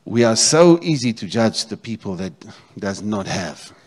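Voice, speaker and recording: Low-voiced South African pastor preaching. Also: male voice, sing-song, unhurried. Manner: repeats phrases, checks in with congregation. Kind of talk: sermon. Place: church stage, indoors. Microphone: handheld microphone.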